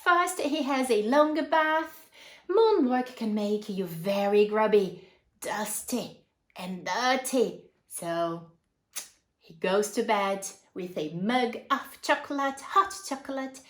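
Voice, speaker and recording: A woman's voice: mid-range voice, expressive, slow, roomy sound. A woman reading a picture book aloud to young children, expressively, with asides and questions addressed to her listeners.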